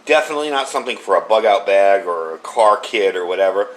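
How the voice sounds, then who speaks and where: A man's voice: lowish voice, lively intonation, fast talker. Casual man reviewing gear. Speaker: product reviewer.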